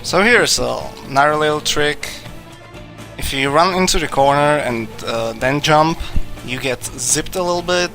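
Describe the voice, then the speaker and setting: A young man whose first language is Czech, calmly explaining tricks during a live speedrun in English, with many fillers. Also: a little high, a little slow, soft background music. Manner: relaxed, explanatory, frequent fillers.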